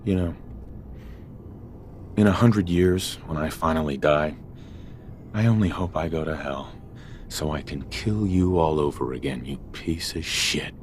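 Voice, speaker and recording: A man's voice: very deep voice, unhurried, pauses often, slight background noise. A man acting a vengeful, grieving father in a video game, grim, bitter and terse. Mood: cold fury.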